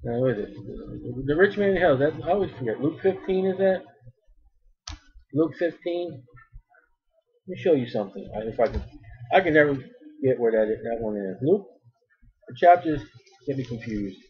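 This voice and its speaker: A man preaching a sermon as a street preacher, with a big loud voice and emphatic delivery that swells in volume. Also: mid-range voice, lively intonation, moderate pace, long pauses, slightly rough, dull audio.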